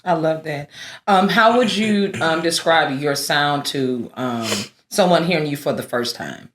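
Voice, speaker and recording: A woman radio host who teases her guest playfully and jumps in with quick reactions. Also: deep voice, echoey room. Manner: teasing, animated, quick to laugh and jump in. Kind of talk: playful interview hosting.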